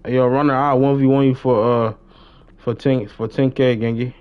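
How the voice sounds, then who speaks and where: A man's voice: medium pitch, fairly even intonation, normal speed, clean tone. Young American man, slangy, slightly muffled mic. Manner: slangy, repeats short phrases. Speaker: live streamer. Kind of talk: casual chat while gaming. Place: indoors, gaming livestream setup with webcam.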